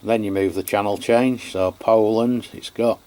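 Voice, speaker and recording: An Englishman giving an unscripted product review and demonstration, chatty and matter-of-fact, with a halting delivery. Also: on the low side, fairly even intonation, a little mellow. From England. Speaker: radio reviewer.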